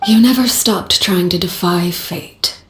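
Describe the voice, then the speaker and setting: A woman voicing a composed, stern female leader who calls herself the children's father, speaking calmly and bluntly in a game cutscene. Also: lowish voice, reverberant, lightly acted. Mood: solemn. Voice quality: calm, measured, blunt. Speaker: head of an orphanage house, the children's father. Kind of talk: video game cutscene voice acting.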